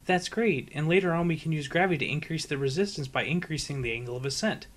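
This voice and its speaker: A man's voice: a little high, fast, lightly acted. A man voicing a studious young female unicorn pony in a storybook reading, polite, earnest and a little hesitant. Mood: earnest, thoughtful. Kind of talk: fan fiction audiobook character voice.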